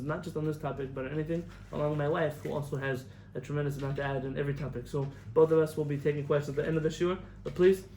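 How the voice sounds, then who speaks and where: Young guy rabbi giving a talk, high-ish voice, acts out all the quotes, little bit of room hum behind. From United States. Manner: animated storyteller, acts out quotes, laughs at own jokes. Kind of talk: lively lecture with stories and asides. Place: lecture hall in front of an audience. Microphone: camera recording of a live talk.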